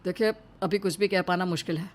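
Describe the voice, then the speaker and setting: A woman's voice: lowish voice, fairly even intonation, quick pace, lightly acted. Woman voicing a stern, firm man of authority. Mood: grave. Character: stern male authority: doctor and household patriarch. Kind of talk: dramatic moral-story dialogue.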